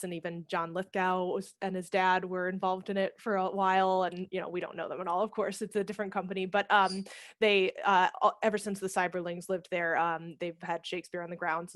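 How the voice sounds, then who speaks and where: Low-pitched American woman, dry headset sound. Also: no echo. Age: 30s. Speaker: theater managing director and actor, interview guest. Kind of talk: informal video interview. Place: home room indoors, on a video call. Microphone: headset mic.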